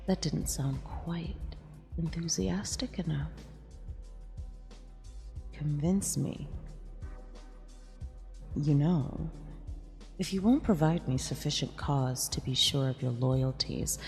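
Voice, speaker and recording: A woman playing a mean, possessive eldritch empress in a half-whispered ASMR roleplay, condescending yet affectionate, with a breathy, creaky delivery. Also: low voice, darkish tone, exaggerated voice. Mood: teasing, commanding. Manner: slow, deliberate, condescending purr. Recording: long reverb with music underneath.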